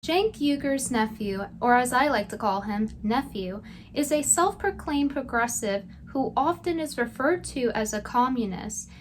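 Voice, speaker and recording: High-voiced sarcastic woman commentator, background noise. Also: lively intonation, a little slow. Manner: sarcastic, mocking. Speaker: video commentator. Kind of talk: scripted commentary with sarcastic asides.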